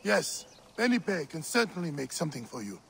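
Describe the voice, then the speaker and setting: An actor playing a warm, teasing village friend of a warrior in a video game, speaking expressively and familiarly. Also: male voice, on the high side, sing-song, moderate pace, vocal fry, a little hiss or hum, heavily acted. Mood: earnest, worried. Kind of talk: video game cutscene acting.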